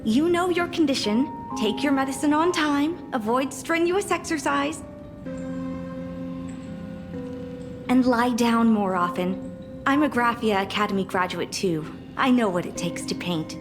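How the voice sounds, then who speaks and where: A woman's voice: fairly high pitch, animated, moderate pace. A woman voicing a caring, slightly exasperated female nurse in a video game. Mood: firm, concerned. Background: music.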